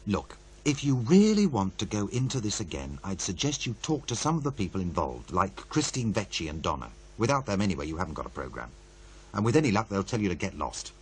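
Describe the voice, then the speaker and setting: A man's voice: fairly low pitch, huge pitch swings, fairly quick, a little mellow, slight background noise. An actor playing a wary, world-weary male producer in a radio play, blunt, sardonic and conversational. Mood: warning. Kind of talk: naturalistic radio play dialogue.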